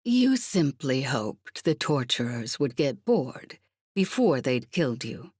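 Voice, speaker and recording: A woman voicing a perceptive, ironic female villain in an audiobook, speaking deliberately with cool curiosity and menace. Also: extremely low pitch, very animated, darkish tone, breathy edge, no echo, clean studio sound, heavily acted. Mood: ironic, curious. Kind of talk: audiobook character acting.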